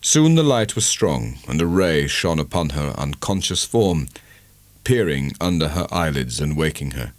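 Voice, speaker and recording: A man narrating a literary audiobook in a measured, dramatic storytelling manner. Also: a bass voice, big pitch swings, no echo.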